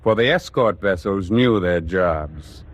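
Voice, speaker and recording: A man's voice: low-pitched, expressive. A man with an American accent narrating in a grave, oratorical 1940s radio drama style. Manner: grave, oratorical, measured phrasing.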